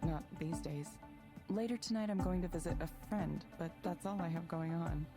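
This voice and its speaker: An actress playing a calm, wry woman working in tech in a visual novel game, with a reassuring, understated delivery. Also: lowish voice, normal speed, music in the background, natural voice. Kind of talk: naturalistic game voice acting.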